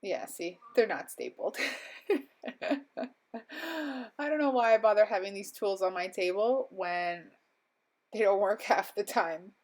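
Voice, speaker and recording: A woman's voice: medium pitch, unhurried, long pauses, a little mellow. A young woman recovering from a cold, narrating a crafting voiceover at home in a rambling, chatty way with lots of ums and little laughs. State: slightly stuffy, recovering from illness. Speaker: crafting vlogger. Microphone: voiceover mic.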